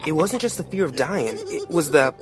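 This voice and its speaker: A man's voice: fairly high pitch, expressive, moderate pace, close to an everyday voice. A man playing a plain-spoken working-class father who narrates a dramatic tale in an earnest, colloquial way.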